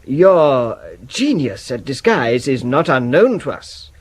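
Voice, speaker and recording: An actor playing a pompous, insistent English gentleman with a formal, declamatory manner, in a 1970s radio drama. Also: mid-range voice, sing-song, slow pace, husky, exaggerated voice. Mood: persuasive.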